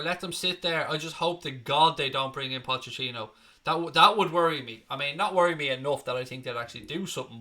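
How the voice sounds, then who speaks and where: A young man with an Irish accent talks through viewer comments on a live stream in a loose, blunt, chatty way, dropping the odd swear word. His voice has a very bright, forceful edge that cuts through. The pitch sits in an ordinary middle range. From Ireland. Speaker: fan channel host.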